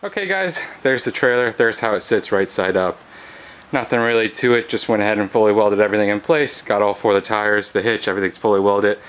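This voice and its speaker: A man with a fairly low voice talks through a DIY build in a casual vlog style. He sounds laid-back and matter-of-fact, explaining things plainly as he goes. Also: fairly quick, fairly bright tone, slight background noise. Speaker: host of a DIY fabrication channel.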